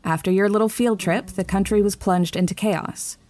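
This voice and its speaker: A woman's voice: mid-range voice, fairly even intonation, normal speed, darkish tone, natural voice. An actress playing a stern, commanding female military commander with a clipped, no-nonsense manner in an audio drama. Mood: businesslike.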